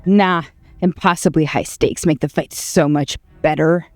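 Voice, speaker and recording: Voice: medium pitch, steady tone, measured pace, darkish tone, dry recording, faint music behind, lightly acted. Cocky, battle-hungry inner voice acted out. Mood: eager, cocky. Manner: blunt, defiant, dismissive. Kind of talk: video game voice acting.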